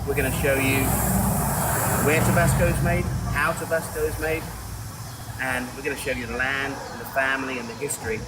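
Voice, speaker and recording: A man's voice: medium pitch, heavy background noise. A man working as a corporate chef, welcoming a guest in a friendly, enthusiastic way. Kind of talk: welcoming guide talk. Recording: slightly distant with music behind.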